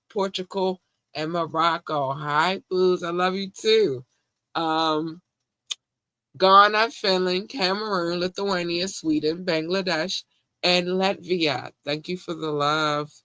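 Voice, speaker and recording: Male podcast host, Baltimore accent, slightly high, bright and forceful, casual slangy banter. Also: dry recording. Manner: animated, affectionate, slangy, shouting out listeners. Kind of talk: casual live-stream chat.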